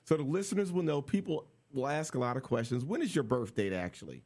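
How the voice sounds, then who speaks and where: A man's voice: medium pitch, very animated, fast, darkish tone. A middle-aged man hosting a radio interview, jovial and teasing, laughing often. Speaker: radio host. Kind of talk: casual interview chat.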